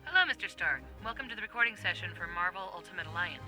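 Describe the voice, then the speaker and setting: A woman's voice: on the low side, expressive, fast talker, over loud music, natural voice. A woman playing a patient, tactful recording-session director, professional, in a comedic game scene. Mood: businesslike. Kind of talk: comedic game cutscene acting.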